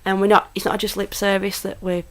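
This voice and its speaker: A woman's voice: medium pitch, level tone, a little fast. A woman in her thirties from Lancashire with a Lancashire accent, a friendly, upbeat interview guest who talks with plenty of fillers. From Lancashire, England. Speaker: guest, football club PR manager. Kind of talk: casual interview chat. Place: small home studio.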